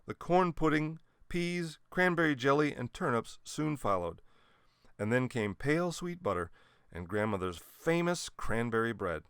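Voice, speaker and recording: A man narrates a children's story from a script. His mid-pitched voice is highly animated, with expressive, dramatic emphasis. The sound is dry. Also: measured pace, smooth, no echo. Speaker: storybook reader.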